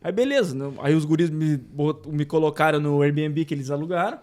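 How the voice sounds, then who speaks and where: Sex: man. Pace: fast. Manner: laughs, acts out quotes. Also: a little high, darkish tone. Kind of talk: casual storytelling chat.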